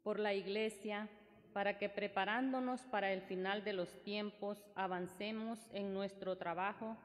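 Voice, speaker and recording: A woman's voice: mid-range voice, steady tone, unhurried. A woman lay reader reading out prayer petitions at a church lectern. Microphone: lectern microphone. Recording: reverberant church, background noise.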